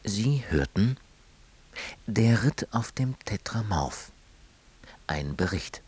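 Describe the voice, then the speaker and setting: Husky, low-pitched male radio announcer. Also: lively intonation, takes it slowly, darkish tone. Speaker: announcer reading credits.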